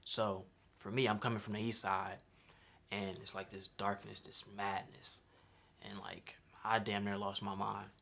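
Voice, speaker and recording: A man's voice: on the low side, flat delivery, measured pace, creaky, fairly bright tone. A young man from Chicago talking casually about his custom sneaker, in laid-back slang that often ends with "right?". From Chicago, USA. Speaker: sneaker designer. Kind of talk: casual vlog explanation.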